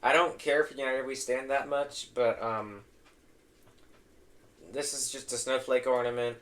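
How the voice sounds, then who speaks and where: A young man hosting a casual tier-list ranking, his voice going hoarse, opinionated and trailing off into low mumbles. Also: fairly even intonation, measured pace, fairly bright tone. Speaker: host.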